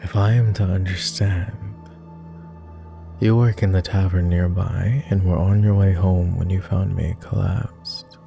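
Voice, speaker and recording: A man playing a weary, courtly vampire lord in an ASMR roleplay, murmuring formally and hesitantly with many pauses, close to the microphone. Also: sits low, fairly even intonation, creaky, dark tone, soft background music. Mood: puzzled.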